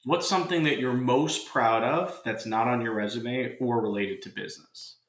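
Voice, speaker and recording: A man's voice: mid-range voice, moderate pace. A man hosting a podcast interview, upbeat and chatty as he asks questions. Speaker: podcast host. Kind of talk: casual interview chat. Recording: roomy echo.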